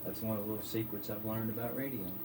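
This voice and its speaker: A man with a gravelly voice, a musician guest talking easily and modestly in a radio studio interview. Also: on the low side, fairly even intonation, very fast, dark tone. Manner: modest, easygoing, trailing off. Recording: studio mic with audible background noise.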